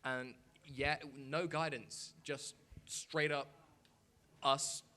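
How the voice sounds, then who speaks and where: A young man talking casually on a convention panel, halting and scattered as he fields questions from the audience. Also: medium pitch, fairly even intonation, bright, forceful tone. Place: convention meeting room with audience. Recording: live convention room recording.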